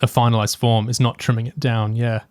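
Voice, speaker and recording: A man's voice: mid-range voice, flat delivery, fairly quick, no room sound, studio quality. A man co-hosting a podcast, talking casually and analytically through a review, hesitantly thinking aloud as he goes. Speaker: podcast co-host.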